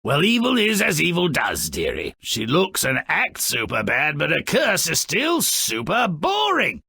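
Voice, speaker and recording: An actor playing a small, malicious, sardonic storybook grouch in a video game, raspy and gravelly, narrating dark fairy tales with theatrical, sneering mockery. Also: male voice, on the high side, huge pitch swings, a little slow, no room sound, studio quality, full character voice. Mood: gleeful disdain. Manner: theatrical, sneering, mocking, ornate vocabulary.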